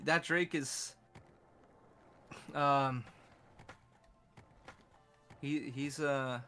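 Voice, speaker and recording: Gravelly, rambling Californian guy chatting. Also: medium pitch, bright, forceful tone. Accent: Californian English. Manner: rambling, profane, loose. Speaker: co-streamer. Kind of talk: casual gaming chat.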